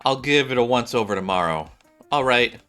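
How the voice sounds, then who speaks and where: A breezy, playful woman scientist from a game acted out by a man, bossy but caring. The voice is bright and lifted above his usual pitch, teasing and emphatic, over background music. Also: lively intonation, a little fast, lightly acted. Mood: teasing concern.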